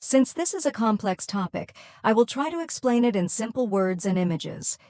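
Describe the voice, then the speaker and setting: A woman's voice: fairly high pitch, lively intonation, normal speed, a little mellow, no room sound. Woman presenting a scripted explainer, dry sound. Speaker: student presenter.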